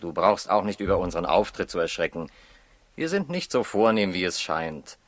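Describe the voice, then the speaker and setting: An actor playing a calm, authoritative astronomer from the East in a 1960s radio drama, courteous and reassuring. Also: male voice, low voice, normal speed, no room sound. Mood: calm, discreet. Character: calm, courteous leader of travelling Eastern astronomers.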